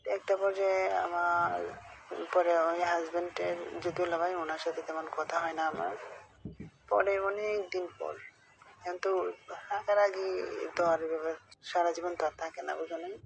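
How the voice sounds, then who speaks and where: A gravelly, fry-edged woman with a Bangladeshi accent confides in a friend in a casual phone chat. Her delivery is flat and rambling, with frequent little checks that the listener is keeping up. The call recording has faint noise behind it. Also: on the low side, moderate pace, long pauses, poor audio. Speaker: caller telling a friend a personal story.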